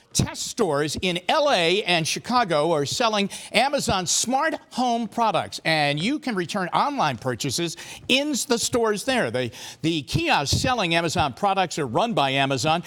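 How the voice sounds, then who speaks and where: A man's voice: high voice, a bit bright. A man hosting a live TV news show, presenting and interviewing in a chatty, interjecting way. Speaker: TV news host. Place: television studio.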